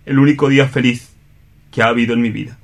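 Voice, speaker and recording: A male actor plays an anguished man of wounded pride in a radio play. He speaks in solemn, deliberate phrases, declaiming his bitterness and self-pity with a noble air. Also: mid-range voice, steady tone, lightly acted. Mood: pained renunciation. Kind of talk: theatrical radio play reading.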